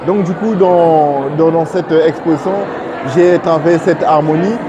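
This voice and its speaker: Male artist, high voice, halting and repetitive, gallery crowd audible behind him. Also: steady tone, lo-fi sound, background noise. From Congo. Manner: halting, repeats words. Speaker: exhibiting artist. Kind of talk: informal on-camera presentation of own work. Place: art gallery.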